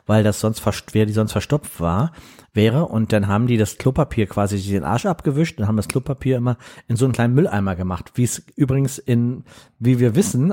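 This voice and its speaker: Animated husky male podcast co-host. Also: medium pitch. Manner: lively, crude storytelling with restarts. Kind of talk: casual chat.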